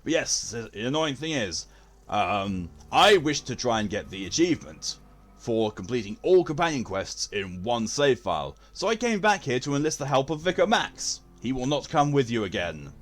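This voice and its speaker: A man's voice: medium pitch, very animated, normal speed. A man chatting on a live game stream, with formal wording and a theatrical way of talking.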